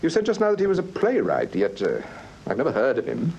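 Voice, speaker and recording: Urbane English detective with lively intonation. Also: male voice, on the high side, vocal fry, lo-fi sound, a little hiss or hum, heavily acted. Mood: probing, composed. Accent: English Received Pronunciation. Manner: urbane, measured questioning. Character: calm, probing gentleman detective in a mystery. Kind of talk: British radio drama acting.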